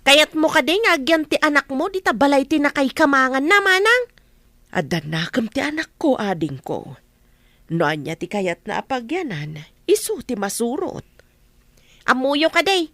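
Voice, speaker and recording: A woman's voice: sits high, sharp tone, no room sound, studio quality. A woman with an Ilocano Filipino accent acting a meddlesome, easily offended village mother in a radio drama, exclaiming and questioning. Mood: aggrieved.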